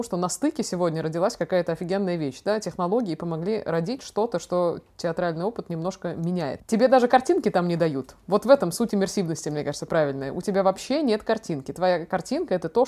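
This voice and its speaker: A young woman talks very quickly in a mid-range voice. She hosts a casual conversation, telling stories in an expressive way with plenty of slang. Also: mid-range voice. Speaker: podcast host. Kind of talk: casual chat, personal storytelling.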